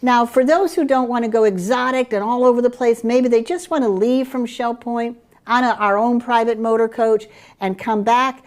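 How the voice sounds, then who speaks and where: Woman, middle-aged, Dutch Caribbean accent, upbeat travel pitch, slightly high voice. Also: fairly even intonation, normal speed, darkish tone. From Curaçao. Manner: enthusiastic sales pitch with fillers. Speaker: travel program presenter. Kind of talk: promotional chat about group tours.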